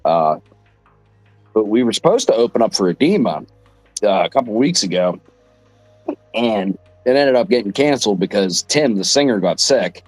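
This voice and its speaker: A hoarse man with a low voice, a rock singer appearing as a guest, rambling in a relaxed way with plenty of fillers. The sound is close and dry, with faint music underneath. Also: moderate pace, long pauses, no echo. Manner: laid-back, filler-heavy, rambling. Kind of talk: casual chat.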